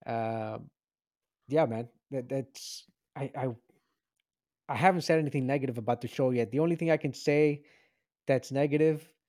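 A man's voice: mid-range voice, moderate pace, long pauses, dark tone, no room sound, studio quality. A man in his late thirties from Venezuela, co-hosting a casual show review and rambling with many restarts. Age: 30s. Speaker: podcast co-host.

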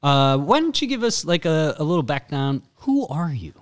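Lively teasing man co-hosting. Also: on the high side, moderate pace. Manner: teasing, sarcastic quips. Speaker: podcast co-host and stand-up comedian. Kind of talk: casual podcast banter.

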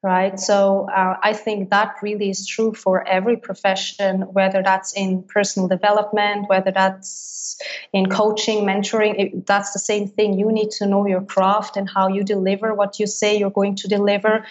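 A woman talks through her answers in a relaxed, fluent way, peppering them with fillers and small restarts. Her pitch barely moves, so the delivery sounds level and even throughout. The recording is dull and lo-fi. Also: normal speed. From Switzerland. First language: Swiss German. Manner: fluent, many likes and restarts. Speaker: business coach, interview guest. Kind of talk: casual interview answers.

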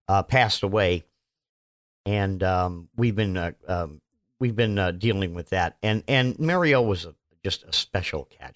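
A man hosting his own podcast, his voice still hoarse from illness, rambling casually with lots of fillers and self-mocking asides. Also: on the low side, fairly bright tone. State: hoarse, recovering voice. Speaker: podcast host. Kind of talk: casual solo podcast monologue.

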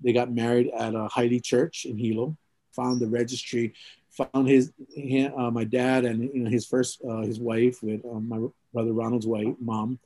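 Man, middle-aged, reflective, rambling, restarts often, mid pitch, dry recording. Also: flat delivery, moderate pace, dark tone, no echo, poor audio. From Hawaii. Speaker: interviewee. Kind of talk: oral history interview.

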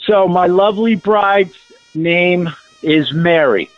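Upbeat male caller, high voice, dry and slightly dull phone sound. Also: slow pace, dry recording. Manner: upbeat, cheerful. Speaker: radio caller. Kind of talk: phone-in call.

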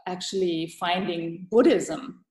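A woman from Thailand, a guest author speaking in a podcast interview, thoughtful and halting with many fillers and restarts. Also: on the low side, steady tone, darkish tone, echoey room.

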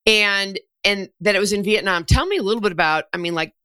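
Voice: mid-range voice, fast, sharp tone, clear, resonant tone, no echo. A podcast host asking conversational questions and giving frequent small affirmations. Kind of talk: interview conversation.

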